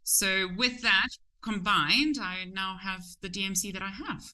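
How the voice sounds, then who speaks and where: Bright-voiced Zimbabwean woman. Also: medium pitch, unhurried. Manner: open and chatty, some restarts. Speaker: tour company owner, guest. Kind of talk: interview.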